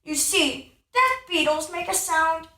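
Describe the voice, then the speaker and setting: A woman's voice: extremely high pitch, lively intonation, slow, bright, forceful tone, full character voice. A woman playing a pedantic, haughty investigator in a stagey spooky radio play. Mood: insistent. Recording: echoey room.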